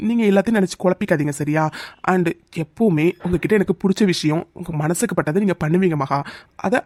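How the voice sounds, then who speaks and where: A man acting a gentle, caring young office colleague in an emotional drama, soothing with insistent repetition. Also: high-pitched, dry recording.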